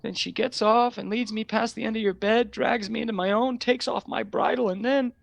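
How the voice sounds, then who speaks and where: Frightened boy, high and haunted. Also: fairly even intonation, a little fast, vocal fry, no room sound. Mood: dread-filled. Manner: haunted, hesitant storytelling. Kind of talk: radio play acting.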